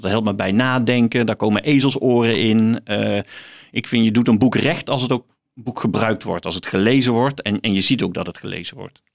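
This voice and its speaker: A man talking as an interview guest, haltingly, repeating words as he searches for his phrasing. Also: mid-range voice. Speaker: guest, philosophy professor. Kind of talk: conversational book interview.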